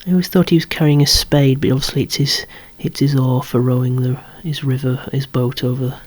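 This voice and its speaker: ASMR collector-presenter, androgynous voice. Whispered, hushed delivery at medium pitch, with gently wry asides. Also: level tone, fairly quick. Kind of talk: whispered ASMR show-and-tell of collectible toys.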